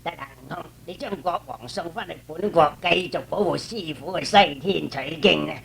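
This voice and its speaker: A man playing a solemn, authoritative old celestial lord with measured, commanding Cantonese radio drama delivery. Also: fairly high pitch, creaky, fairly bright tone, a little hiss or hum. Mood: calm authority.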